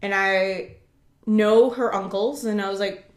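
A woman's voice: mid-range voice, fairly even intonation, a little slow. A woman talks frankly in a loose, casual chat, blunt and unguarded, with a voice that sits in the middle of the range. Her words ring out in a noticeably echoey room. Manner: candid and blunt, swears when upset.

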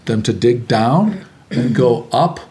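A man's voice: mid-range voice, expressive, slow, warm tone. Opinionated man, rambling casual chat.

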